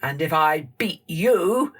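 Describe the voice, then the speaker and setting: A woman's voice: deep voice, lively intonation, slow pace, slightly rough, exaggerated voice. A woman voicing a boastful, teasing male wind spirit with a cocky, blustering manner in a children's storybook. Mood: gleeful, excited. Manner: cocky, taunting, loud laughing bluster.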